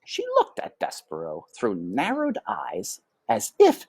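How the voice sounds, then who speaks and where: A woman reading a children's book aloud as storyteller, with dramatic, deliberate phrasing. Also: very deep voice, huge pitch swings, a little mellow, breathy edge, no echo.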